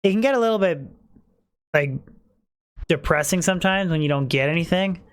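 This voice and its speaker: A man's voice: high-pitched, expressive, fairly quick, clear, resonant tone. Chatty male streamer, losing his voice. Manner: chatty, talks to chat viewers, many fillers. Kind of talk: casual live-stream chat while playing.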